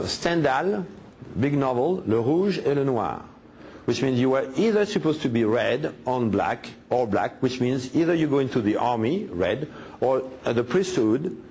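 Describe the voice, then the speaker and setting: A man's voice: mid-range voice, big pitch swings, unhurried, darkish tone. Guy lecturing, keeps asking "huh?", slight hiss behind him. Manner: emphatic, asks rhetorical questions. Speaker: guest speaker in a played clip. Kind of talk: lecture-style commentary.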